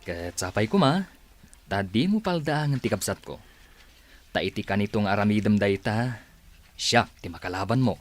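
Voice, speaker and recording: An actor in a radio drama playing a playful, teasing older brother. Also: male voice, on the low side, animated, moderate pace, pauses often, soft background music, lightly acted. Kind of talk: broadcast radio drama acting.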